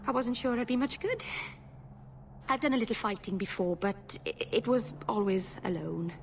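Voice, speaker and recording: A woman's voice: fairly high pitch, expressive, normal speed, a little hiss or hum. A woman voicing an earnest young female elf mage in a video game, hesitant and apologetic, tending to babble and interrupt herself. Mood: flustered, apologetic. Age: young adult.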